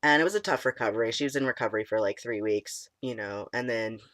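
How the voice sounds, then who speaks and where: Young guy w a cold, voice cracks n squeaks, lots of ums, mid pitch, dead dry room. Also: quick pace, bright, forceful tone, no echo. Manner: rambling, full of ums and likes. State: stuffy nose from a cold. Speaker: vlogger. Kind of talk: casual chat. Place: small room indoors.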